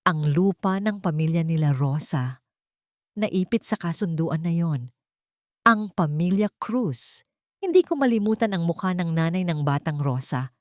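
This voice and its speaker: Audio-drama elder, read by a female narrator: sharp old Filipino woman, solemn, accusing, lowish register, dry sound. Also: lively intonation, a little slow, no echo. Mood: bitter, sorrowful.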